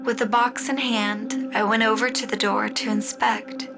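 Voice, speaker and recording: A woman narrating and announcing in the style of a 1940s radio drama, with measured storytelling. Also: a little high, level tone, vocal fry. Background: music score.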